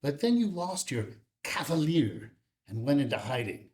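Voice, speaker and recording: An actor playing a sneering, impatient, corrupt power broker in a script table read, pointed and deliberate. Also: male voice, on the high side, sing-song, a little mellow. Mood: contemptuous, menacing. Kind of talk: filmed screenplay table read.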